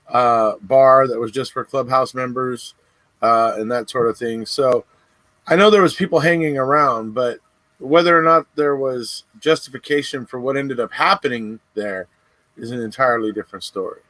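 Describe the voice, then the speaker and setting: A man's voice: mid-range voice, steady tone, moderate pace, a bit bright, no echo, rough recording. A man talking as a guest in a casual chat, easygoing, with lots of "you know" fillers.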